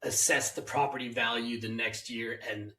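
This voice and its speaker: Opinionated man with a bright voice. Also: medium pitch, fairly even intonation, normal speed, reverberant, distant mic. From Hungary. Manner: opinionated, talks at length. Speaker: host. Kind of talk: casual interview chat.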